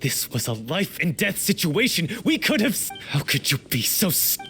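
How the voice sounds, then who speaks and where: An actor playing a passionate, righteous grieving lover in a video game, with a declamatory, melodramatic delivery. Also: male voice, on the high side, sing-song, normal speed, sharp tone, faint music behind, heavily acted. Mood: outraged, grieving. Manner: impassioned, declamatory.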